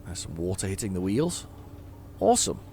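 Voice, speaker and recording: A hoarse man giving casual, rambling commentary while playing a driving game at home, often stammering and repeating words as he chats to himself. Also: low-pitched, sing-song, normal speed, dark tone, slight background noise. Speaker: gaming YouTuber.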